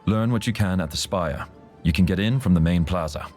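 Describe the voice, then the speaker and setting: A man plays a sardonic schemer from a fantasy game. His voice is very deep, and he talks wryly, like a conspirator laying out a plan. Faint music runs behind him. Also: fairly quick, close to an everyday voice. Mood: conspiratorial. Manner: wry, conspiratorial. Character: wry, scheming male infiltrator, sardonic ally. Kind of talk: video game dialogue acting.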